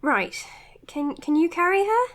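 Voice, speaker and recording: A self-doubting young doctor in an audio drama. Her voice is high, and she speaks earnestly and hesitantly, with real care. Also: lively intonation, a little slow. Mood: uneasy. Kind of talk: naturalistic audio drama acting.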